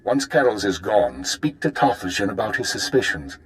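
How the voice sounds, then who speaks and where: An actor playing a calm, calculating imperial intelligence handler in a video game, briefing formally and in measured phrases. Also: male voice, on the low side, rough recording. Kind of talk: dramatic video game acting.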